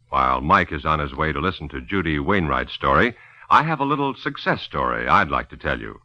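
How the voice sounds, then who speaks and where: A man's voice: low-pitched, pushed and bright. A male announcer delivering old-time radio advertising and narration with folksy, emphatic salesmanship. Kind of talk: 1940s-50s radio ad and narration. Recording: muffled old dry radio recording.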